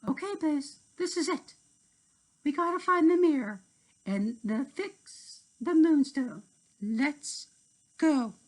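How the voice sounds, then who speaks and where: A woman's voice: sits high, expressive, slow, pitched above the performer's own voice. A woman voicing an earnest, emotional young female fairy in a children's storybook, with dramatic, pleading delivery. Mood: eager. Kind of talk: children's storybook character reading.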